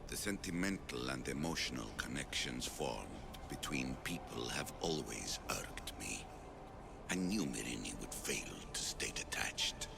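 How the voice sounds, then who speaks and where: An actor playing an ancient, stern and secretive father figure in a video game, solemn and measured, with a gravelly, breathy voice. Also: very deep voice, expressive, full character voice. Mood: detached, stern. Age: older. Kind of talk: video game cutscene acting. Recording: music behind the voice.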